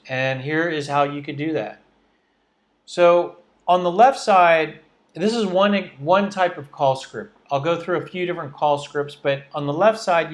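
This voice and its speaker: A man presenting as a sales trainer, explaining step by step in an instructional style and often restarting his phrases. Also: fairly high pitch.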